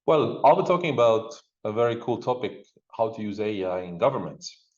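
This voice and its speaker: A man's voice: lowish voice, lively intonation, brisk, a little mellow, reverberant, dull audio. A man with an Estonian accent giving a conversational conference presentation remotely, peppering it with informal fillers like "look" and "you know". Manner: informal, uses frequent discourse markers like "look" and "you know". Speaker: guest speaker, digital government expert. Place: speaking remotely over a video link.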